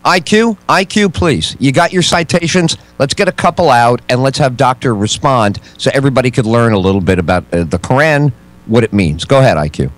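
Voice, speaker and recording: A man's voice: mid-range voice, lively intonation, a little fast, rough recording. A man hosting a roundtable debate, prodding the guests with questions. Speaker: host. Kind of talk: moderating a debate.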